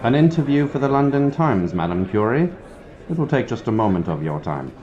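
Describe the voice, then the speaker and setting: Guy as an eager pushy reporter, mellow lowish voice that swings around, muffled sound. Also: very animated, brisk, a faint crowd, natural voice. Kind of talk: old time radio drama acting. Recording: dull lo-fi sound.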